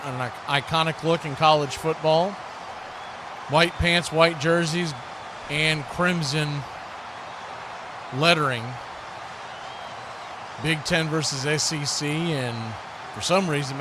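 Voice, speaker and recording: A man hosting live sports commentary in a casual, folksy way, chatting to viewers as a play-by-play announcer. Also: on the high side. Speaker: play-by-play announcer and livestream host. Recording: clearly noisy live stream with background ambience.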